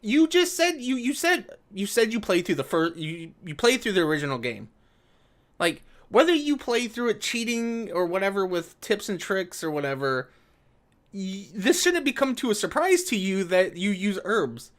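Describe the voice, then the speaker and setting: A man with a voice pitched a little high. He delivers off-the-cuff commentary in a sarcastic, exasperated way, with plenty of swearing. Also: big pitch swings, moderate pace. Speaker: video commentator. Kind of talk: casual reaction commentary on a read-aloud article.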